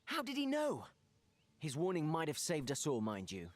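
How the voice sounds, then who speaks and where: An actor playing a wary, suspicious adventurer in a video game cutscene, questioning in a measured way. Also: male voice, fairly high pitch, huge pitch swings. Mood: deliberating.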